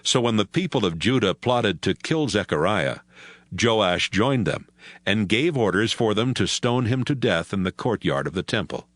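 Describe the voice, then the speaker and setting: Casting: male narrator, fairly low pitch, lively intonation, measured scripted Bible storytelling. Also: no room sound.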